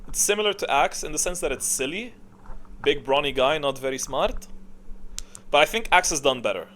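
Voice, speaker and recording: A man's voice: a little high, animated, normal speed, a bit bright, slight background noise. A man hosting a gaming tier list in a casual, rambling way, hesitating and correcting himself as he goes. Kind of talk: casual, rambling opinion commentary.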